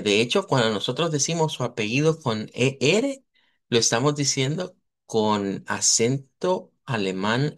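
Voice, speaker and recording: A man teaching a live online language class. He explains at length, models sounds slowly and often checks in with his students. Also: mid-range voice. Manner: checks in with students, asks "verdad".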